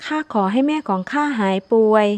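A woman's voice: mid-range voice, animated, brisk, a little mellow, dry recording, natural voice. A woman voicing a kind, devoted young village woman, polite and humble, in a folktale. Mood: earnest, humble. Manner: polite, deferential.

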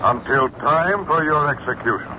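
An actor playing an unseen, mocking, commanding avenger with a portentous delivery in a 1940s radio drama. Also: male voice, a little high, lightly acted. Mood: ominous, mocking. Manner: slow, portentous, taunting. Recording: noisy, muffled old 1940s radio recording.

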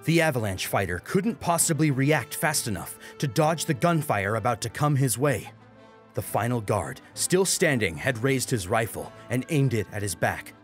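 A man's voice: mid-range voice, expressive, normal speed. A man narrating a scripted story as a dramatic audiobook reader. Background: music.

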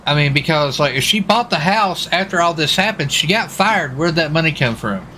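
A man with a bright, forward voice talks casually as he roleplays in a video game. His speech is informal and peppered with folksy exclamations, with faint game ambience behind him. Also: on the high side, lively intonation, moderate pace, slight background noise. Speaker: gamer roleplaying a US marshal on stakeout.

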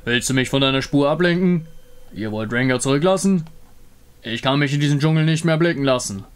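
Ein Mann spricht einen stolzen, prahlerischen Löwenkrieger in einem Fantasyspiel, der von sich in der dritten Person redet. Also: male voice, mid-range voice, animated, fairly quick, smooth, lightly acted. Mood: proud, pleading. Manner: speaks of himself in third person, boastful. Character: proud boastful male lion-beast hunter.

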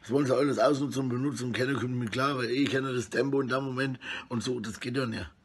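A middle-aged man talks into his phone in a room at home. His voice is husky and unclear at a medium pitch. He rambles casually in coarse slang, often tacking on little tag questions. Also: fairly even intonation, fast. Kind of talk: rambling casual selfie monologue. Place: small indoor room at home. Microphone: phone at arm's length.

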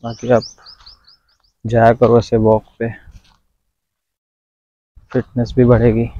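A man's voice: mid-range voice, monotone, takes it slowly, mellow, highs cut off, slight background noise. A man vlogging as he walks outdoors, chatting to viewers and giving them casual, instructive advice. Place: outdoors, walking in the sun.

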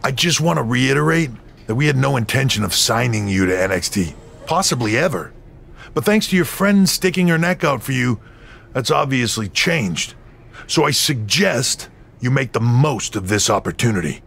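A stern wrestling executive delivers blunt, dry remarks in a gravelly voice whose pitch moves a lot. The voice comes from a video game cutscene. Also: male voice, very animated, normal speed, a bit bright, a little hiss or hum.

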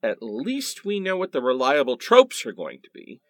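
A man's voice: medium pitch, wildly swooping pitch, normal speed, background noise. A man playing a sarcastic, exasperated teenage boy in a comic radio play. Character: sarcastic teenage boy in nineties sitcom parody.

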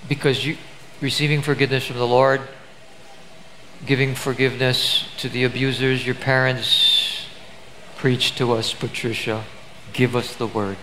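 A man's voice: medium pitch, level tone, measured pace, bright, forceful tone, low-quality recording. Older man, pastor, emphatic conversational preaching, church stage, faint hiss. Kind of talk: sermon interview on stage.

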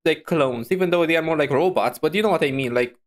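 A streamer giving casual reaction commentary in an animated, rambling, giggly way into a close microphone. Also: mid-range voice, fast.

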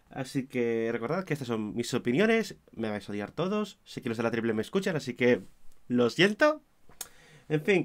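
A hoarse young man giving an opinionated, self-mocking casual review, with his volume swinging between loud and mumbled. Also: medium pitch. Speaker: wrestling show reviewer.

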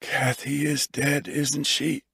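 A man voicing an injured, despairing father in an audiobook, murmuring haltingly in anguish. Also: a little high, little pitch movement, vocal fry, bright, forceful tone, breathy edge, no room sound, clean studio sound, pitched above the performer's own voice, full character voice. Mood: despairing, weak.

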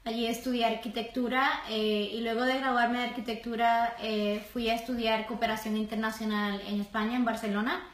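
Measured young woman from Puerto Rico. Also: a little high. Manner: calm, measured, many hesitations. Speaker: architect, guest. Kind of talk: casual interview. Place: small office. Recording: roomy office echo.

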